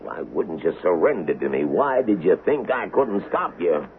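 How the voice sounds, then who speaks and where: An actor playing a stern but reluctant sheriff in a Western radio drama, speaking in a firm, folksy, drawn-out way. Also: male voice, low voice, sing-song. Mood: regretful, puzzled. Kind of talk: 1970s radio drama acting. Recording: dull, noisy vintage radio recording.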